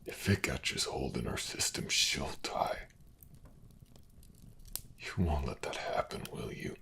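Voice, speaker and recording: An actor playing a weary, dying miner in a fantasy audio drama, pleading in a weak, halting, breathy half-whisper. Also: male voice, very deep voice, animated, sharp tone, heavily acted. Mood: weak, earnest. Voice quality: gravelly, breathy rasp.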